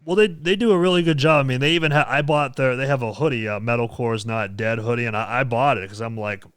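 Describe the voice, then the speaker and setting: A man's voice: medium pitch, fast talker, fairly bright tone, clear, resonant tone, no echo, studio quality. A man hosting a casual podcast chat, rambling with frequent restarts and easy laughs. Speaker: podcast host and metal vocalist.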